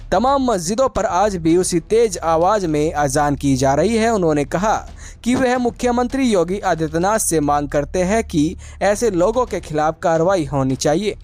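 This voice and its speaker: A man's voice: high voice, a little mellow. A man reporting in a scripted news narration style.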